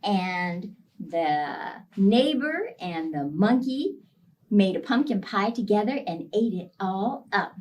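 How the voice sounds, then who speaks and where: A woman, a children's librarian, telling a story interactively in an expressive, playful manner. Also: mid-range voice, slow pace, distant mic.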